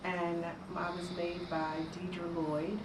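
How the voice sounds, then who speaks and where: A woman talking about her own initiation in a group interview, halting, with many pauses and fillers. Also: on the low side, level tone. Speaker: interviewee, priestess. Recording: echoey room, distant mic, loud noise.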